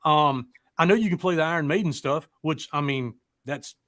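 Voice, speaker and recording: A man's voice: a little high, moderate pace, fairly bright tone, no echo, clean studio sound. A man co-hosting a casual chat show, rambling along in a folksy, informal way. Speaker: show co-host.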